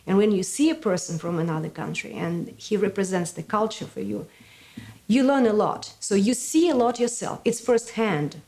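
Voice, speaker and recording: A woman's voice: mid-range voice, normal speed, warm tone. Woman with a Russian accent. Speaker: interviewee. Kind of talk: documentary interview.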